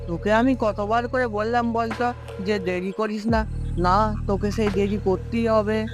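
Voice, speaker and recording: A man's voice: high voice, steady tone, measured pace, over music. A man voicing a timid young villager who narrates and acts out a cartoon ghost story. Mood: wary, worried. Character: timid, worried young village man telling his tale. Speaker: narrator and character.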